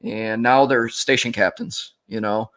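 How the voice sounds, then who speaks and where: An American man in his late forties speaks in a medium-pitched voice. He hosts a casual interview, reflecting as he goes and often doubling back on his phrases in a halting way. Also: steady tone, fairly bright tone. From upstate New York, United States. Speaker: podcast host and veteran firefighter. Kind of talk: casual shop-talk interview.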